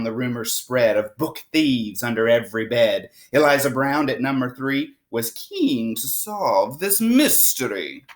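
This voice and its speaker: A woman reading a rhyming picture book aloud to children with expressive, dramatic storytelling. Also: rumbling low. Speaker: storybook reader.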